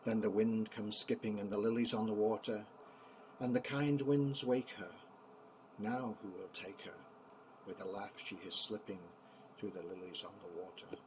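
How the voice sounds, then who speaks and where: An older man, a grandfather, reading children's poems aloud in an expressive, theatrical bedtime style. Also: fairly low pitch, normal speed, a little hiss or hum, low-quality recording.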